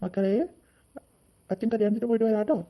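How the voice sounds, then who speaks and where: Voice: mid-range voice, little pitch movement, fast, highs cut off, natural voice. A performer voicing an easygoing, placating and mildly flustered father in a comedy cartoon.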